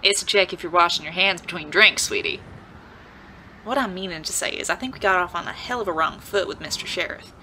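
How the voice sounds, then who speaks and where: Woman playing a bright, teasing saloon sweetheart. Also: on the low side, a little fast, a little hiss or hum, low-quality recording. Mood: coaxing, teasing. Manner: coaxing, teasing, sugary. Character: flirtatious, teasing saloon woman, sweet but quietly dangerous. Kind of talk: audio drama acting.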